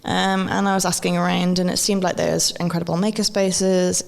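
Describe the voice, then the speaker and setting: Woman, from Wales, low gravelly voice, chatty, many ums, long hall reverb. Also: level tone, fairly quick. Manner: chatty, laughs often, many ums and restarts. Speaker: guest, maker and educator. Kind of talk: relaxed conversational interview. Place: event venue at a festival.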